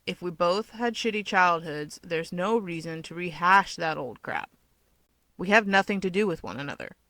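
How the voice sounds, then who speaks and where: A woman's voice: lowish voice, expressive, moderate pace, fairly bright tone, no echo. Sarcastic, short-tempered young man acted by a female narrator, curt, scornful storybook dialogue, close dry recording. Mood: dismissive.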